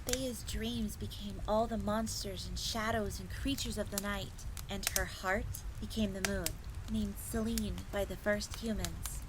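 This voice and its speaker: A woman's voice: mid-range voice, steady tone, measured pace, hardly any pauses, background noise, lightly acted. A woman voicing a young, polite and eager fox maid who tells old lore in a roleplay audio drama. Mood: earnest, explaining. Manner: refers to herself in third person, explanatory.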